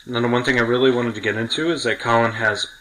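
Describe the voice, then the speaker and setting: A man's voice: mid-range voice, steady tone, fast talker, noisy background. A man hosting a podcast, talking casually and explaining his opinions at length. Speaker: podcast host.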